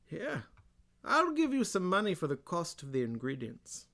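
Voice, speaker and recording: Theatrical British villain: slow, hushed, drawn-out storybook reading with grave drama. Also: male voice, high voice, wildly swooping pitch, vocal fry, voice pushed up, exaggerated voice. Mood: grave, dramatic.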